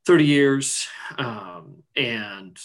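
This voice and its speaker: A man in his forties, originally from Iceland, talks in a loose, rambling way, circling back with ums and restarts. His voice sits at a middling pitch, relaxed and conversational as an interview guest. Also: extremely slow. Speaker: guest, business owner. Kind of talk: casual interview chat.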